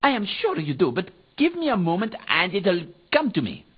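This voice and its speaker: A woman's voice: on the low side, huge pitch swings, normal speed, slightly rough, exaggerated voice. A woman voicing a mild, absent-minded inventor in a video game, with a hesitant, fussy, scholarly manner. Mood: hesitant, musing. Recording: dull, lo-fi game audio.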